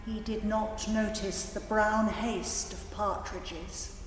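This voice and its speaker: A woman's voice: medium pitch, steady tone, slow pace. A woman giving a solemn literary narration as part of a performance. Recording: distant, echoey hall with music. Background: music.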